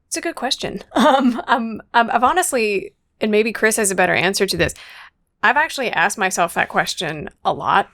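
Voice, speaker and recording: A woman's voice: mid-range voice, animated, moderate pace, fairly bright tone, no echo, clean studio sound. An American woman in her thirties giving considered, articulate answers as an interview guest. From Louisville, Kentucky, United States. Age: 30s. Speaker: guest author, foreign policy scholar.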